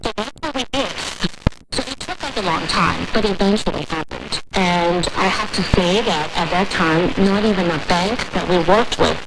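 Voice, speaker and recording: A woman's voice: fairly low pitch, normal speed, gravelly. A woman from China with a Chinese accent, answering interview questions earnestly about her factory. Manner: earnest, slightly non-native phrasing. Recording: off-air shortwave radio with heavy noise.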